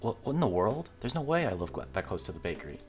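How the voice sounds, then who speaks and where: A man with a fairly low, very animated voice narrates a visual novel as he plays it. His delivery swings widely in pitch as he reads the script aloud, with music playing behind him. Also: fast talker. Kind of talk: read-aloud narration of a game script with light character voicing.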